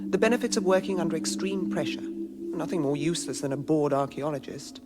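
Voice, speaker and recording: An actress playing a brisk, clipped, impatient archaeologist in a radio comedy. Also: female voice, low voice, loud music behind the voice, natural voice. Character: brisk, urgent, impatient young female archaeologist in space. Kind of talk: radio comedy drama acting. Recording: noisy ambient radio drama sound bed. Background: loud ambience noise.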